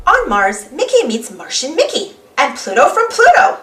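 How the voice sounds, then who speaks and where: A woman narrating a children's storybook in an animated read-aloud style. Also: high-pitched, normal speed, recorded from a distance. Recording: roomy, voice away from microphone.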